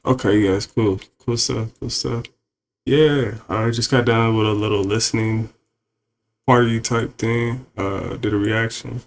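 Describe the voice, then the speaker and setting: A man's voice: fairly low pitch, steady tone, a little slow. A man streaming, talking to viewers in a laid-back, rambling way with many fillers. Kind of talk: casual stream chat.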